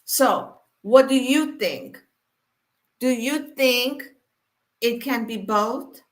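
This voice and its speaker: A woman born in Iran hosting her weekly inspirational live stream, talking to viewers casually with many fillers. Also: on the high side. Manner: unhurried, many fillers, speaks straight to viewers.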